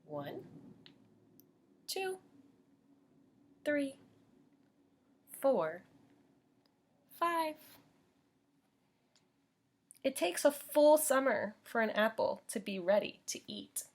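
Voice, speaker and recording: A woman's voice: sits high, sing-song, very slow, pauses often. An American woman teaching young children as she reads a picture book aloud, explaining simply and putting questions to her listeners.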